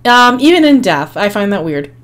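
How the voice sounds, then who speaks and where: A woman hosting a life-sim game video, her voice hoarse and fading, chatting casually with frequent ums and small laughs. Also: mid-range voice, big pitch swings, normal speed. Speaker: gaming channel host. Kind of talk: casual gameplay commentary.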